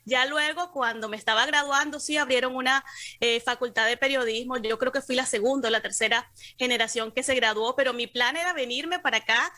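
Bright, higher-pitched Colombian woman. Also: brisk, no room sound. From Cartagena, Colombia. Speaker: interview guest, communications professional. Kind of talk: conversational interview answer, personal storytelling.

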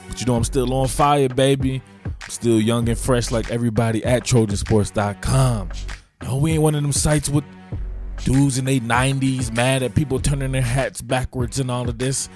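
A man with a Southern US accent hosting casual, slangy football commentary. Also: mid-range voice. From southern United States. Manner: slangy, informal, familiar address to listeners. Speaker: host, football analyst. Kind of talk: casual solo sports commentary.

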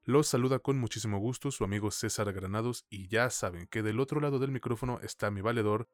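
Casual Mexican man, slightly low voice, dry recording. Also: quick pace, dry recording. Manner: informal, slangy. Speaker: podcast co-host. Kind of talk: casual film podcast chat.

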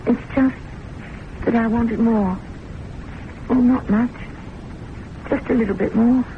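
An actress playing a weary, lovelorn secretary in an old-time radio drama, intense and wistful in manner. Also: female voice, on the high side, fairly even intonation, takes it slowly. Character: weary, lovelorn confidential secretary, a distrustful woman patient. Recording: muffled, noisy old radio recording.

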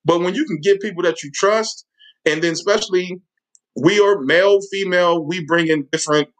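A man's voice: high voice, a little fast, low-quality recording. Emphatic man, a football coach. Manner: earnest and emphatic. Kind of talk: casual interview chat.

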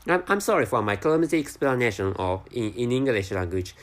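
A man with a Japanese accent, a native Japanese speaker, talking alone on his podcast in slow, halting English full of restarts and repeated words. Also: medium pitch, big pitch swings. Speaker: podcast host. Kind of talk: casual solo podcast monologue.

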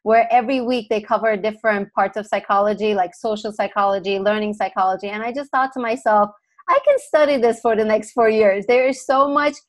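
A woman's voice: medium pitch, fairly quick. A middle-aged woman from Turkey speaking conversationally as an expert podcast guest, explaining things.

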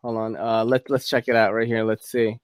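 A man's voice: on the low side, level tone, a little fast, a crowd in the background. A man in his forties chatting casually and loosely on a video call, full of slang and fillers. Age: 40s. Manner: slangy, laid-back, lots of fillers. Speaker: host sharing his screen.

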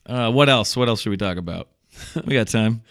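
A man's voice: fairly low pitch, a little fast, fairly bright tone, no echo, studio quality. A man co-hosting a podcast in casual comedic conversation, speaking in a wry, jokey way. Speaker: podcast co-host, stand-up comedian.

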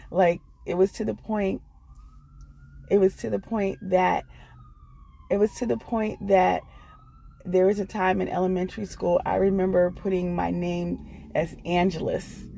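Gravelly middle-aged American woman telling her story. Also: on the low side, little pitch movement, a little slow, mellow. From Washington, D.C. area, United States. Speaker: guest storyteller. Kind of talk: casual personal storytelling.

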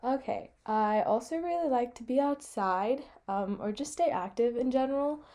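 A young American woman co-hosts a podcast in a casual, chatty style. Her voice sits a little high for a woman, yet it is mellow and relaxed in tone. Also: normal speed. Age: young adult, about eighteen. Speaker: podcast co-host. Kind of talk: casual chat.

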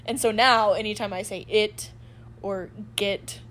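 A woman from Texas with a softened Texan accent, co-hosting a podcast in casual chat, giggling and drawing out words. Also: medium pitch, steady tone, measured pace. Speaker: podcast co-host.

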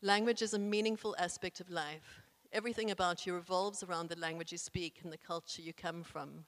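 A young woman from South Africa with a South African accent and isiXhosa as her first language, reading her own essay aloud in an earnest, proud, deliberate way. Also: lowish voice, steady tone. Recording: echoey room with long reverb.

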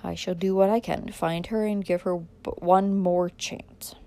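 A woman's voice: fairly low pitch, fairly even intonation, moderate pace, dark tone, lightly acted. Haughty, stern patriarch for a storybook, older man played by a woman, stiff and commanding. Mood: cold, stern. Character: proud, stern older father, domineering and angry.

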